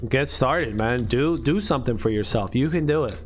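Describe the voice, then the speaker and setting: A man's voice: medium pitch, expressive, moderate pace, soft background music. A man in his late thirties, chatting casually on a live stream in loose, profane slang full of "dude" and "bro". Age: late 30s. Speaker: livestream host.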